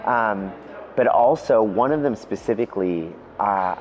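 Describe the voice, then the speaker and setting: A man's voice: lowish voice, a little slow, a little hiss or hum. A man, a guest evangelist, talking casually and enthusiastically in an interview, often saying "man" and "dude". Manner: relaxed, enthusiastic storyteller, says "man" and "dude". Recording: lo-fi with music behind.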